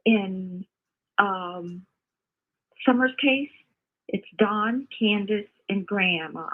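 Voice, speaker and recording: Older woman caller, tinny phone audio, slow pace, halting, earnest, repetitive, casual opinion. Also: medium pitch. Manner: halting, earnest, repeats herself. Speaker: caller, mother of the host. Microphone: phone call. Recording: muffled, narrow phone call audio.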